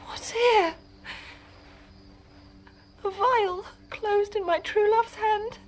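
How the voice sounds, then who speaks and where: An actress playing a lovestruck young bride in despair, in slow, tender classical radio drama style. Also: female voice, very high voice, slight background noise, full character voice. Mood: anguished.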